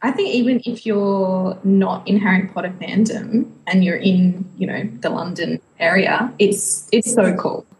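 A woman's voice: medium pitch, a little slow, hardly any pauses, darkish tone. Woman podcaster in an echoey room. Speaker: podcast co-host. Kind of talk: casual fan podcast chat. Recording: echoey, roomy sound.

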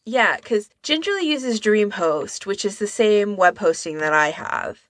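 A woman's voice: mid-range voice, expressive, a little slow, no room sound, clean studio sound. A woman co-hosting a podcast in casual discussion, talking tentatively and carefully qualifying what she says. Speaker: podcast co-host.

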